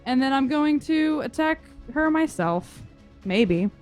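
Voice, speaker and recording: Woman, tabletop player, fairly high voice, casual chatty delivery, soft music underneath. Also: animated, takes it slowly. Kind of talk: casual game chat, describing character actions.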